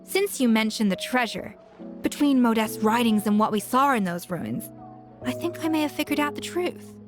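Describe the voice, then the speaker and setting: A woman's voice: fairly high pitch, lively intonation, moderate pace, soft background music, close to an everyday voice. A woman playing a self-assured young chef in a video game, passionate and articulate as she explains things. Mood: explanatory. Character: confident, exacting young female chef, passionate about cooking. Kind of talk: video game character dialogue.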